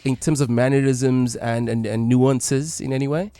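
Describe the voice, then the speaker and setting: A man's voice: mid-range voice, steady tone, normal speed, mellow, clean tone, no room sound. A South African man co-hosting a radio interview in a studio, upbeat and peppered with fillers. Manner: upbeat, filler-heavy introductions. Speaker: radio co-host.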